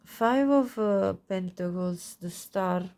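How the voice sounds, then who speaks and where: A woman's voice: medium pitch, slow. Nasal woman reading tarot cards. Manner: soft, gushing, warm-hearted with frequent little laughs. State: nasal from a cold. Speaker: tarot reader. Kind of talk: pick-a-card tarot reading to camera.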